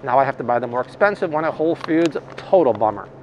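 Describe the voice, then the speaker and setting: A man's voice: mid-range voice, expressive, fast talker, darkish tone, lo-fi sound. Upbeat guy filming himself on a phone in a big grocery store, faint store noise behind. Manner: upbeat, chatty product commentary. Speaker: host. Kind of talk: shop-along grocery vlog. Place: large grocery store, indoors. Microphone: phone held at arm's length.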